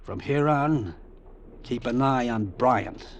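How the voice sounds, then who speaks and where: Commanding crime boss. Gravelly, calculating, scornful; vintage radio suspense with faint hiss. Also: male voice, medium pitch, takes it slowly, dull audio. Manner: authoritative, cutting, gives orders. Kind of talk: old-time radio suspense acting.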